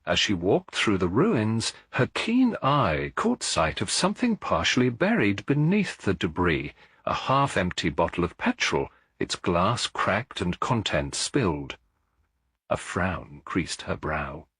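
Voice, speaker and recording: Low, husky male mystery narrator. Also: sing-song, slow, a bit bright, no room sound, clean studio sound. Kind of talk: scripted mystery audiobook narration.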